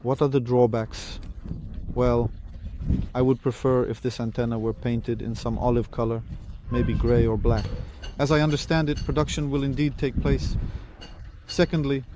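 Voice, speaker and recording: A man's voice: mid-range voice, little pitch movement, normal speed, background noise. A man giving a hands-on product review and demonstration, explaining plainly and step by step as the presenter. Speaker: presenter reviewing radio equipment.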